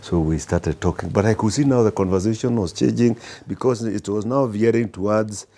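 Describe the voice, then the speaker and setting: Deep, husky-voiced Kenyan man telling stories. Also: warm tone. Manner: unhurried and anecdotal, full of "uh" fillers and restarts. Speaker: guest executive. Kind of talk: storytelling in a podcast interview.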